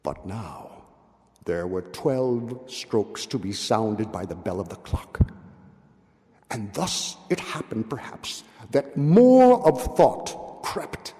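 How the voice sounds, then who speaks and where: A man giving a dramatic, theatrical reading of gothic horror, with drawn-out words, pauses and hushed drops. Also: fairly low pitch, big pitch swings. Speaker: literary reader. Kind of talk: dramatic literary recitation of a gothic horror tale. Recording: long hall-like reverb.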